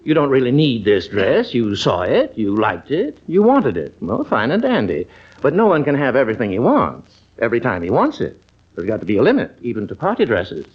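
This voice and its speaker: A man's voice: medium pitch, huge pitch swings, brisk, husky. An actor with an American accent playing a wry, stubborn middle-aged doctor father in an old-time radio family comedy, dry and mock-patient. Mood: exasperated. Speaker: narrator and lead character. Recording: muffled old radio broadcast recording.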